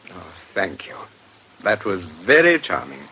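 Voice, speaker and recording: An actor playing a polite, precise, secretly troubled English gentleman in a radio drama, courteous and a little hesitant. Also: low voice, huge pitch swings, unhurried, faint music behind, full character voice. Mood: gallant.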